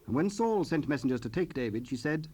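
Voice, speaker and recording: A man's voice: a little high, expressive, quick pace, a little mellow, a little hiss or hum. A man narrating scripture from a script in a solemn, formal storytelling style.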